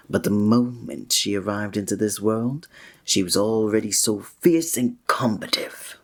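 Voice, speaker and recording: A man playing a flirty, breathy innkeeper. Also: lowish voice. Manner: formal old-fashioned wording with chuckling asides. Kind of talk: ASMR roleplay.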